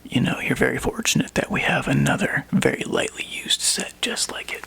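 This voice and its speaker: A man plays a laid-back game store clerk in an ASMR roleplay. He murmurs and whispers close to the mic at a medium pitch, with a lot of crackly fry. His delivery is casual and wry, as if chatting one-on-one with a customer. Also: animated, fairly quick. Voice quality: breathy whisper and soft low murmur. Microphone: close microphone.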